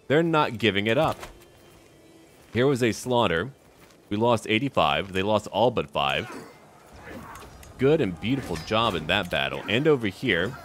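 A man giving first-person roleplay commentary on a strategy game in short, theatrical remarks, as if addressing its characters. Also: on the low side. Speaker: gaming video host.

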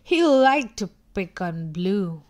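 A woman reading a children's storybook aloud with dramatic, deliberate phrasing. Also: medium pitch, sing-song, a little mellow. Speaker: storybook reader. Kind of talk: expressive children's read-aloud narration.

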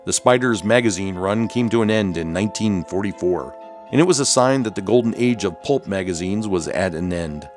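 A man's voice: low-pitched, a little fast, soft background music. A man hosting and narrating a scripted documentary-style video.

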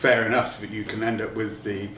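A middle-aged man giving a technical explanation as an interviewed designer, halting and thinking aloud as he goes. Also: lowish voice, level tone, a bit bright. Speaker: loudspeaker designer being interviewed. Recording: roomy, distant mic, noticeable background noise.

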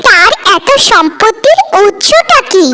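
A woman's voice: extremely high pitch, very animated, slow, full character voice. A woman playing a discontented, envious village housewife in a cartoon, scolding and complaining with sarcasm. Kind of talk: cartoon voice acting in dramatic dialogue. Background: loud background music.

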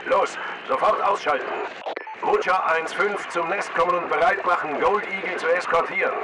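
A man's voice: sits high, normal speed, noisy background, natural voice. A man playing a clipped, authoritative military command-post radio operator in a video game. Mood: commanding, urgent.

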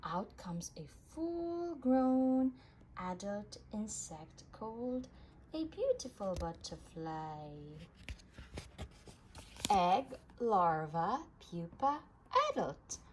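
Female read-aloud narrator for a kids' science book, very expressive pitch, unhurried pace, noisy home recording. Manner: animated storybook delivery with emphasis for young listeners. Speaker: reader of a children's book.

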